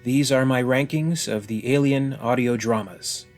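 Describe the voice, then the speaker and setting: A man hosting a video review, reading scripted narration in a measured, articulate and formally phrased way. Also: mid-range voice, fairly even intonation, faint music behind.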